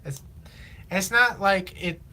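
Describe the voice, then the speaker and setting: A teenage man from Illinois, chatting casually on a live stream while he builds, rambling with lots of fillers, his voice slightly raspy from long talking. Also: high-pitched, sharp tone, slight background noise. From Illinois, USA. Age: teens. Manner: rambling, halting, many fillers and restarts. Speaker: livestreamer.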